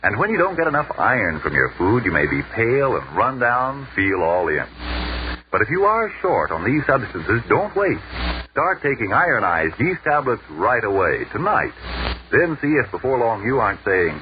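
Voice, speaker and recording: A man's voice: medium pitch, sing-song, moderate pace. A man working as a radio announcer, delivering scripted 1940s narration and sales copy in a formal, emphatic way. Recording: muffled, noisy 1940s radio recording.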